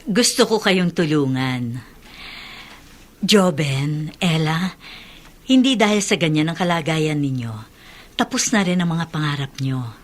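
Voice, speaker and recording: Earnest lower-pitched Filipina doctor. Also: female voice, expressive, unhurried, pauses often. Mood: reassuring. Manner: earnest, reassuring. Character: dedicated, compassionate woman doctor and mother. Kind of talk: Filipino radio drama acting.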